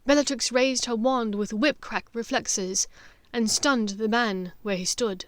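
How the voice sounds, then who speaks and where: High-pitched woman narrating a story. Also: steady tone, a little slow, no room sound. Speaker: audiobook narrator. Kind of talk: scripted dramatic story narration.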